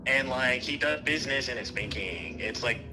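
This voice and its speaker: A man in a casual personal conversation, talking in a halting, rambling way and circling back on his own phrases. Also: medium pitch, animated.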